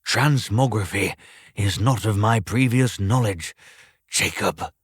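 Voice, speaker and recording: A man's voice: on the low side, slow pace, slightly rough, no echo, clean studio sound. A man playing a bitter, bewildered old man in a storybook reading, with formal, old-fashioned speech. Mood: frustrated.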